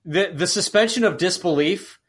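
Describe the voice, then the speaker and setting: A man's voice: high-pitched, moderate pace, a bit bright, breathy edge. A man co-hosting a podcast, chatting casually about films in an exclamatory, incredulous way. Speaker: podcast co-host. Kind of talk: casual film discussion.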